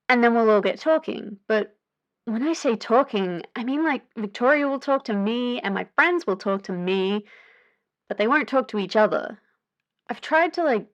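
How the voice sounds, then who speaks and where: A woman acting an earnest, higher-voiced young female caller. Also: natural voice. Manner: hesitant, chatty, with filler words. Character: anxious, earnest young woman calling a radio advice show. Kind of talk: audio drama phone-in.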